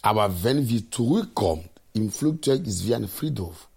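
A man reflects at a very unhurried pace. His voice is fairly low, husky and full of creak. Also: expressive, darkish tone. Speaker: interviewee in a radio feature. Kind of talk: reflective spoken commentary.

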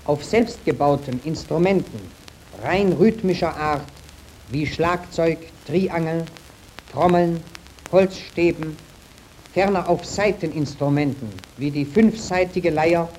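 A man announcing a children's radio program in slow, formal early radio style, listing items in a measured way. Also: fairly high pitch, a little mellow, a little hiss or hum, poor audio. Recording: archival 1931 radio recording.

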